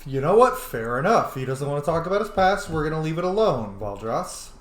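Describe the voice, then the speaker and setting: A man's voice: fairly high pitch, sing-song, fairly quick. Man doing let's-play commentary, echoey room. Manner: informal, rambling asides. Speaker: host of a gaming series. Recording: echoey room, faint noise.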